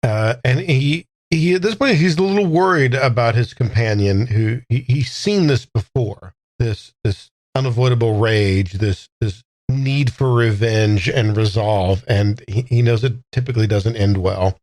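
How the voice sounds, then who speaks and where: Halting man in a dry, close recording. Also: mid-range voice, darkish tone, no room sound. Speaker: player in a tabletop game podcast. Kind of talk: improvised character narration in third person.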